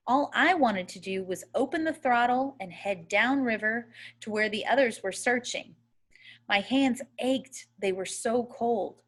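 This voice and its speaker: A woman reading a first-person novel aloud as a storyteller, in a measured, expressive way. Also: a little high. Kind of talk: audiobook-style readaloud of a first-person children's novel.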